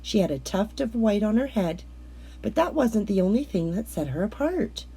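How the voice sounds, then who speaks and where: Husky, mellow woman reading a storybook. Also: medium pitch, expressive, normal speed. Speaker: children's storybook reader. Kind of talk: scripted read-aloud narration of a picture book.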